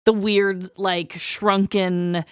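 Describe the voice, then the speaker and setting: A woman's voice: mid-range voice, little pitch movement, extremely slow, a crowd in the background. A woman co-hosting a podcast, chatting informally and reacting expressively and agreeably. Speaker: podcast co-host and character performer. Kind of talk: casual, reactive podcast chat.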